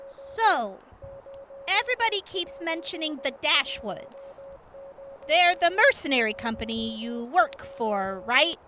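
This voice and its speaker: Woman playing a very high-voiced, sassy wasteland medic. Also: very animated, takes it slowly, sharp tone, faint music behind, exaggerated voice. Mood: curious, skeptical. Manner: salty, teasing, casual. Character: tough, sassy, kind-hearted female wasteland raider medic. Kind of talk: post-apocalyptic audio drama acting.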